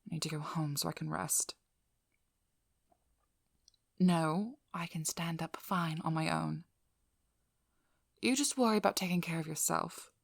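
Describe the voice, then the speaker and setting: Female vampire hunter played by a woman for ASMR role-play: low, husky, breathy, guarded and firm, close on the mic. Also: no echo, exaggerated voice. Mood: weary, dry. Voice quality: breathy, mostly whispered. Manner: slow, soothing instructions, firm and controlled. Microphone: close ASMR microphone.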